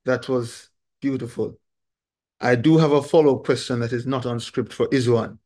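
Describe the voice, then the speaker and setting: A man's voice: mid-range voice, steady tone, normal speed, a little mellow. A man hosting a podcast, thanking a guest and putting a follow-up question politely and formally. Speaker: podcast host. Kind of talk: interview questions.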